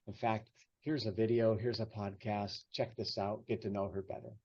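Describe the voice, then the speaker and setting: Upbeat podcast host guy, kinda low voice, lots of ums, muffled video call sound. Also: little pitch movement, moderate pace, a little mellow. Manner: upbeat, hesitant with many fillers. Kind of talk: casual interview chat.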